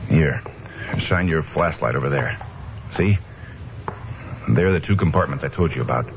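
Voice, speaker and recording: An actor playing a steady, principled investigator in an old-time radio mystery, speaking in a measured, firm manner. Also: male voice, a bass voice, vocal fry. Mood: explaining. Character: steady, principled male investigator narrating his own case. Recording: dull noisy old radio recording.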